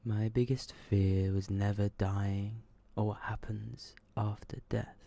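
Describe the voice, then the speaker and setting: A man reading short horror stories in a soft, breathy, hushed ASMR style, often slipping toward a whisper. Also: fairly low pitch. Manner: slow, hushed, subdued delivery. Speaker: ASMR storyteller.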